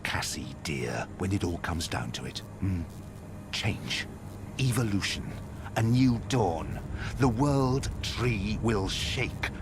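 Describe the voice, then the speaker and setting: Cold villain for a game cutscene: lowish pitch, condescending, grandiose, measured menace, noisy backdrop. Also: male voice. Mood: grandiose, ominous. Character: cold, contemptuous male villain, grandiose schemer. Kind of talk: dramatic game cutscene dialogue. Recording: noisy background ambience.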